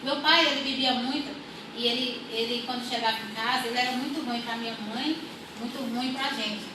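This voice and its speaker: A woman's voice: on the high side, fairly even intonation. Woman, young adult, from rural Brazil, personal testimony, background noise, distant mic. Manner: unhurried, repeats phrases, many filler "né". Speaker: church testimony speaker. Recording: echoey room, far from mic, audible noise.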